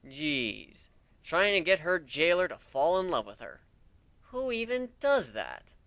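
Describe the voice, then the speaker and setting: A man's voice: fairly high pitch, huge pitch swings, takes it slowly, fairly bright tone, no room sound, voice pushed up, exaggerated voice. A man voicing a world-weary, sarcastic married pony warden in a story reading. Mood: heavily sarcastic. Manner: blunt, sarcastic.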